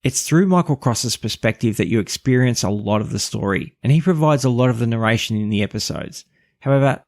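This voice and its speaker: A man presents a scripted podcast review in a gravelly, medium-pitched voice. The sound is close and very dry. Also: darkish tone, no echo.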